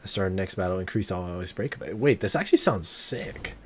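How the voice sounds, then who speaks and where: A man giving casual, slangy live-stream gameplay commentary, swearing freely and getting excited. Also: low voice, fairly quick, slight background noise. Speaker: game streamer. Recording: game music behind the voice. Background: video game music and sounds.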